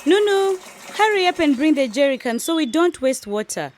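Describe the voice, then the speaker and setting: Bossy teasing young wife, high voice, confident radio drama, slight background noise. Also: female voice, very animated, normal speed. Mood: hurrying. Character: bold, ambitious, teasing young wife and elder friend. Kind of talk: radio drama acted dialogue.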